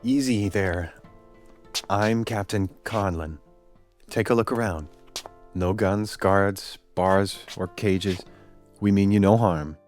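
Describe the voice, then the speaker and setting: A male actor plays a reassuring male starship captain in a sci-fi radio drama. His voice sits low and his manner is courteous, with faint music behind it. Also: fairly even intonation, takes it slowly, pauses often, a little mellow.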